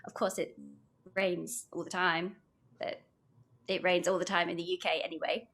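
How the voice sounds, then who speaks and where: A young British woman talks in a bright, clear voice as a podcast guest. She rambles cheerfully and giggles between thoughts. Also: fairly low pitch, little pitch movement, normal speed. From United Kingdom. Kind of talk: casual podcast chat, reading aloud.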